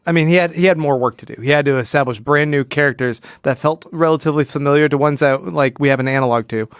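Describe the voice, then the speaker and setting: Smooth-voiced man in casual analytical discussion. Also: fairly high pitch, quick pace, no room sound, clean studio sound. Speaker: podcast co-host.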